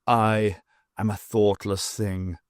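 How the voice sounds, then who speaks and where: A man voicing a proud, anguished male cat in human form in an audiobook, speaking slowly and hissing through clenched teeth. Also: fairly low pitch, level tone, dry recording, clean studio sound, pitched below the performer's own voice. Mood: anguished, bitter. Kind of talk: audiobook character voice.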